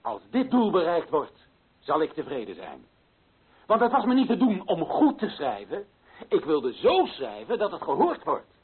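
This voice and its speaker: An actor playing an impassioned, indignant author declaiming against colonial injustice in a radio play, his voice husky and his delivery emphatic. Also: sits high, wildly swooping pitch, moderate pace, a crowd in the background, heavily acted. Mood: resolute. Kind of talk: dramatic radio play monologue. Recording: tinny, phone-like narrow-band sound.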